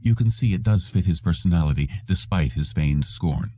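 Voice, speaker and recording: A man's voice: sits low, animated, dark tone, no echo, pitched below the performer's own voice. A man voicing a stern, formal, patient elderly psychiatrist in an audiobook. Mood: patient, explaining. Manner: formal, wordy, deliberate. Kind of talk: audiobook character reading. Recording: dull, muffled lo-fi audio.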